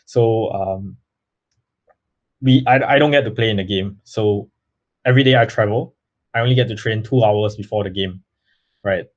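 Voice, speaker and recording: Young man, earnest, halting, many fillers, lowish pitch, dull lo-fi audio. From Singapore. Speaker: guest, baseball player. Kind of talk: casual interview answers. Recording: slightly muffled lo-fi audio.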